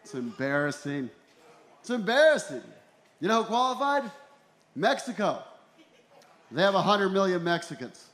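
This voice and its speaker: Higher-voiced male stand-up comic, roomy sound. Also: big pitch swings, moderate pace, pauses often, fairly bright tone. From Ecuador and El Salvador. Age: 40s. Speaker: stand-up comedian. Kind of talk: stand-up comedy routine. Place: small comedy venue in a tent, on stage. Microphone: handheld stage microphone. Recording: roomy live comedy club sound.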